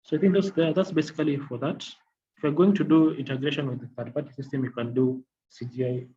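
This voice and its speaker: A man giving a software demonstration as a technical trainer, explaining in a halting, repetitive way. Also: mid-range voice, steady tone. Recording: dull lo-fi audio, faint noise.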